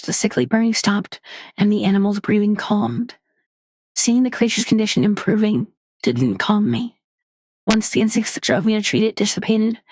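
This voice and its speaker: Female horror narrator, animated delivery, dry close recording. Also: no room sound. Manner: measured storytelling, drops low and quiet for tense moments. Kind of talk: dramatic reading of a horror story.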